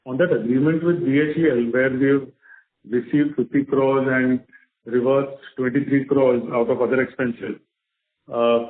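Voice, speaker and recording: A man calling in to put a formal investor question on a conference call. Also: medium pitch. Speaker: investor caller asking questions. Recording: echoey narrow phone line.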